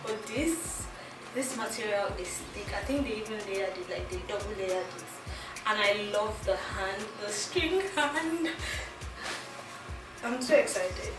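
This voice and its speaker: A woman's voice: medium pitch, lively intonation, measured pace. A young Nigerian woman with a Nigerian accent, chatting giggly and casually through a clothing try-on haul, thinking aloud with restarts. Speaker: fashion vlogger. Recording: echoey room, mic at a distance, music behind.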